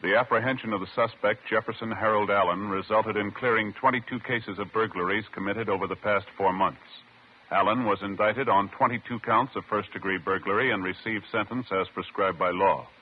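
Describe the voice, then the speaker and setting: A man's voice: fairly low pitch, fairly even intonation, fairly quick, slight background noise. An American man narrating from a script in an official, factual 1950s radio announcer style. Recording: muffled old radio recording. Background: music.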